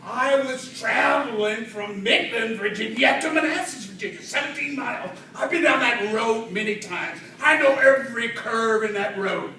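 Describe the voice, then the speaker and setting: A man delivering a humorous tall-tale speech to an audience in a theatrical way, with dramatic pauses and swells. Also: very high-pitched, sharp tone. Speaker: speech contest storyteller at a Toastmasters club. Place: indoor room with an audience. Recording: roomy, distant mic, faint noise.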